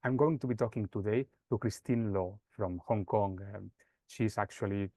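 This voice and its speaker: Male podcast host, dark relaxed tone, hesitant phrasing, conversational interview style, dry recording. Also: lowish voice, moderate pace, no room sound.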